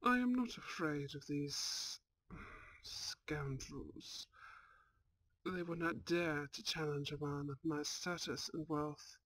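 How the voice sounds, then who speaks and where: Young nobleman voiced by a woman, haughty yet kind, unhurried formal delivery, dry intimate ASMR roleplay sound. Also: low voice, a bit bright, dry recording, heavily acted, poor audio. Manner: formal, self-assured, teasing. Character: suave, haughty young male lord, kind at heart.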